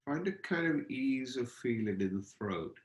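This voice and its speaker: A man leading a guided meditation as a teacher, speaking gently and unhurriedly, feeling out his phrases with pauses. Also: medium pitch, animated. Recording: roomy, echoing space.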